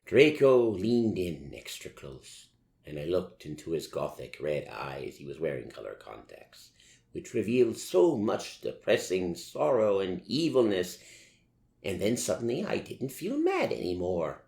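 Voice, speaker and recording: A man reading a story aloud as theatrical narration in the style of an old horror-film star, savouring each phrase deliberately. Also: sits low, huge pitch swings, gravelly, a little mellow. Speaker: story reader. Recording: roomy, echoing voice recording.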